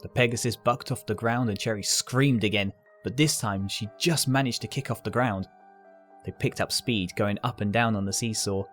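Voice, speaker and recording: A man's voice: fairly low pitch, normal speed, a bit bright. A man reading scripted story narration as the narrator. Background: music.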